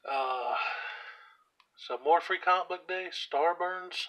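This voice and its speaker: A hoarse man casually walking through his comic book collection, reading out titles with offhand asides while he sits on the floor at home. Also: fairly high pitch, slow, a bit bright. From US South. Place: indoors at home, seated on the floor by a shelf. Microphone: camera aimed at the shelf, speaker off screen.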